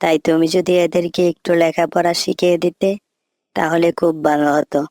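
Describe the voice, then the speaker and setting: A woman's voice: fairly low pitch, level tone, normal speed, a little mellow, dry recording, clean studio sound, close to an everyday voice. A woman voicing a trusting, simple-minded crocodile parent in a children's cartoon fable, earnest and plainspoken. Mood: polite, hopeful.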